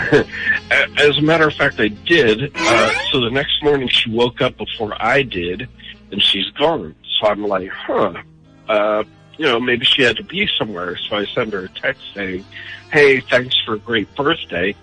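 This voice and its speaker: A man's voice: medium pitch, animated, normal speed, bright, forceful tone, rough recording. A man calling in to a radio show, telling a personal story casually in a hesitant, self-deprecating way. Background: background music.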